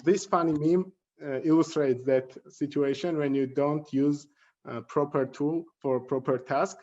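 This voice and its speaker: A slightly muffled, low-fidelity recording of a male tutor presenting a lesson. His voice sits a little high for a man. He speaks with a halting delivery, often repeating and correcting words. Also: fairly even intonation, a little mellow. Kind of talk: instructional presentation. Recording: dull, lo-fi audio.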